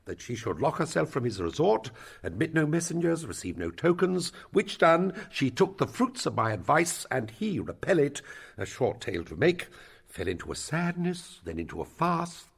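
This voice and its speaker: A male actor plays an elderly royal counsellor in a Shakespearean drama, self-important and long-winded. His gravelly, husky voice rises and falls dramatically as he stretches out his words to make a point. He is an eager schemer who savours his own speech. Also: a little high, big pitch swings, heavily acted. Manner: pompous, rambling, theatrical delivery with drawn-out words. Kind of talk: Shakespearean stage-play acting.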